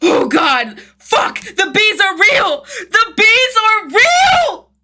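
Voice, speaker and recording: A woman's voice: extremely high pitch, very animated, extremely slow, pushed and bright, shouting, voice pushed up, heavily acted. A woman voicing a battered, bewildered man in an acted story, desperate and whiny as he exclaims in pain. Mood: panicked.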